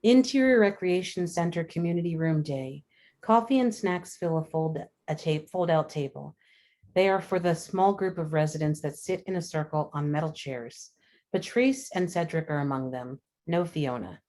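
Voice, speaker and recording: Woman narrator, low-pitched voice, matter-of-fact, scripted screenplay directions, table read. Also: moderate pace, warm tone. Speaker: stage directions reader.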